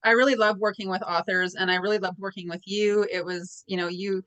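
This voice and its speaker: A woman's voice: mid-range voice, steady tone, brisk. A woman hosting an interview as publisher, encouraging and appreciative toward her guest. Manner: warm, encouraging. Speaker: host, publisher. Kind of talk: interview host.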